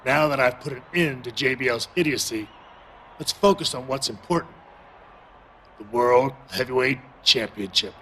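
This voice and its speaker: A man's voice: mid-range voice, normal speed, a bit bright, a little hiss or hum, natural voice. A man playing a battle-worn, determined veteran hero wrestler in a video game, delivering an earnest, emphatic promo.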